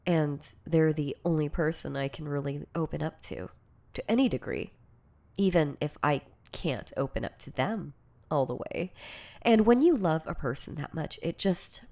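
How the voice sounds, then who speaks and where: Woman acting a hesitant, slow-talking yandere girlfriend. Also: low voice, gravelly, heavily acted. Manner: hesitant, stammering, soft-spoken confessing. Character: shy, insecure, lovestruck yandere girlfriend confessing obsessive devotion. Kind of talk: intimate ASMR audio roleplay.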